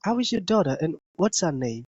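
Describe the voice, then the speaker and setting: A man's voice: on the high side, sing-song, a little mellow, breathy edge, no echo, dull audio. A man hosting an interview, asking questions and giving short reactions. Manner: slow, brief reactions and questions. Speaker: interview host.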